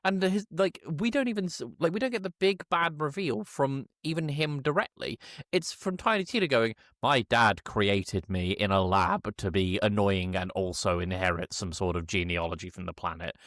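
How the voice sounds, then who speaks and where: A man's voice: medium pitch, lively intonation, normal speed, fairly bright tone, dry recording, studio quality. A man co-hosting a casual film review chat, sardonic, exasperated and sweary. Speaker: podcast co-host.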